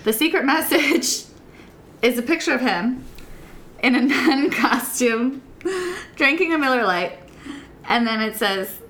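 A woman's voice: a little high, measured pace, pauses often. A woman in her twenties, a podcast guest, tells a dating story casually and acts out the quotes. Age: 20s. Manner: animated storytelling, acting out quotes, often laughing.

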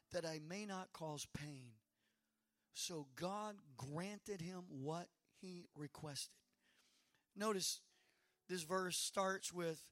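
A man with a high, hoarse voice preaches to his church. He leans on slow, emphatic phrases and calls out to the congregation. The recording is close and dry. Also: a bit bright, no echo. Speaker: pastor. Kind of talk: sermon.

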